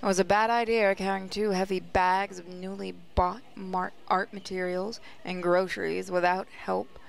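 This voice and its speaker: A woman's voice: mid-range voice, vocal fry, smooth. A woman reading visual novel narration aloud in a reflective, storytelling way. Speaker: gaming channel host, reader.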